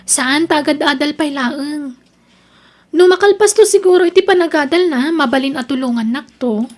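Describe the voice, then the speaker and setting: A woman's voice: high-pitched, moderate pace, lightly acted. A woman with an Ilocano accent playing a proud, determined young student in an audio drama. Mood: apologetic, subdued. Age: young adult.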